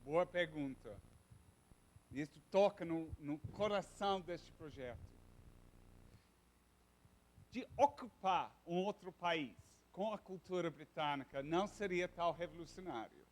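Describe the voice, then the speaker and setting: Englishman lecturing in halting Portuguese. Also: a little high, poor audio. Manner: halting, searches for words, many restarts. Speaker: university lecturer. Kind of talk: informal classroom lecture.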